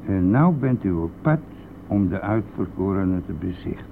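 An actor playing a cheeky, nosy, grumbling village bus driver in a radio play, with a teasing, blustering manner. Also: male voice, deep voice, animated, normal speed, gravelly. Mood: prying. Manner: teasing, blustering, chuckling at own jokes. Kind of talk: Dutch radio play acting. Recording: tinny narrow-band old radio recording, vehicle noise.

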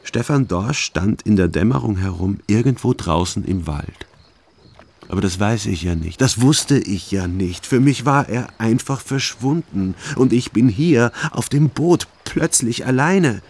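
Radio drama narrator: detached, ruminating man, very low and creaky. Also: expressive, a little mellow, exaggerated voice. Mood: uneasy. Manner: sober, introspective, deliberate. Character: brooding, detached first-person male narrator, coldly ruminating. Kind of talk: scripted first-person radio drama narration.

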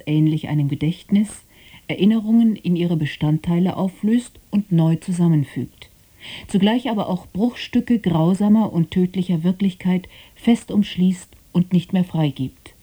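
A woman reading a scripted introduction to a radio play as its presenter, in a measured, formal manner. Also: lowish voice, warm tone, breathy edge. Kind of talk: scripted narration.

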